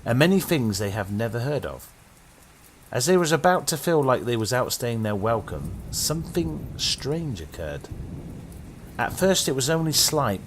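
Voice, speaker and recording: A British man narrating a horror story in a dramatic storytelling manner. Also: a little hiss or hum. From Britain. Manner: dramatic storytelling with sweeping pitch.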